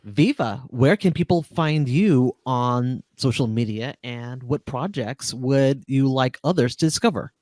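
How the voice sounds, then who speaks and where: Unhurried man hosting a roundtable. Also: mid-range voice, lively intonation, a little mellow, no room sound, clean studio sound. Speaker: host, moderator.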